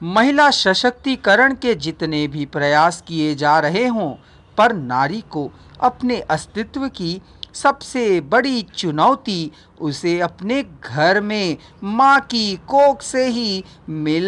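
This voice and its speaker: A woman narrating a non-fiction book as a scripted reading, deliberate and formal, pausing between phrases. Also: mid-range voice, expressive, fairly bright tone. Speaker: audiobook narrator.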